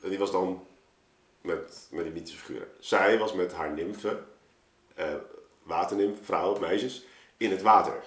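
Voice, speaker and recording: Guy w lowish voice telling stories, informal n animated, echoey room. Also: normal speed, long pauses. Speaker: podcast guest, artist telling of a project. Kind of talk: casual anecdotal chat. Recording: roomy, echoing room sound.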